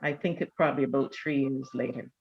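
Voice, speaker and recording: An older woman, reminiscing in a measured, deliberate way as an interview guest. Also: extremely low pitch, steady tone, vocal fry, dull audio. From Barbados. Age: older, around 70. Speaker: church elder, interview guest.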